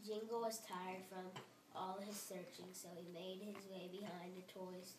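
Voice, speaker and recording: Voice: medium pitch, flat delivery, moderate pace, roomy sound, recorded from a distance, noisy background. A young reader reading a children's bedtime story aloud.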